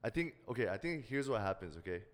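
A man's voice: fairly low pitch, big pitch swings, fast, long reverb tail. A young man co-hosting a casual podcast chat, slangy and full of fillers, with lots of "dude" and "you know what I mean.". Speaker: podcast co-host.

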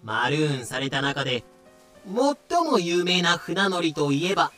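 Bright-voiced man narrating a dramatic tale. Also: on the high side, measured pace, soft background music. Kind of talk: scripted dramatic story narration.

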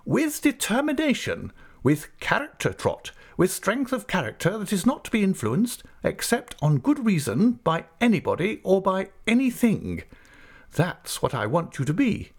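A stern, decisive elderly aunt, as a male narrator puts her on in a storybook reading. She speaks high and emphatically, with a firm, commanding air beneath her fondness. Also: huge pitch swings, normal speed, vocal fry, breathy edge, heavily acted. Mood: brisk, emphatic. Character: brisk, stern but fond elderly aunt, a woman. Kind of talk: audiobook character voice.

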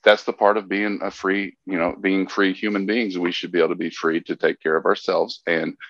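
A man's voice: deep voice, flat delivery, gravelly, a bit bright, no room sound, lo-fi sound. A man from the United States, a guest in a casual podcast chat, who talks earnestly and keeps restarting his sentences. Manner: halting, restarts often, earnest.

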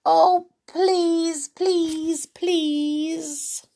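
A woman voicing an excitable young boy who begs to go on an adventure, in a storybook reading. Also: very high voice, extremely slow, gravelly, voice pushed up, exaggerated voice. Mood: pleading. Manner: pleading, eager.

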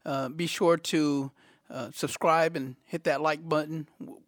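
Easygoing male podcast host, slightly high voice, dry close sound. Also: fairly even intonation, a little slow, no echo. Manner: easygoing, chatty wrap-up. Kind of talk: casual podcast sign-off.

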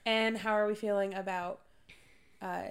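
A young woman talking in a relaxed podcast chat, her voice a little congested and stuffy from allergies. She is chatty and laughs easily, bantering like a sister. Her pitch sits in a middling range. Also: moderate pace. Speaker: podcast co-host. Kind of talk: casual chat.

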